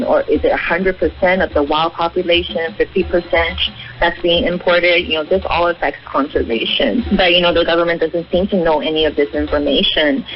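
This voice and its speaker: High-pitched man on muffled shortwave radio. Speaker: animal welfare advocate being interviewed. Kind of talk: explanatory radio interview. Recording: muffled narrowband shortwave radio, music behind. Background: music.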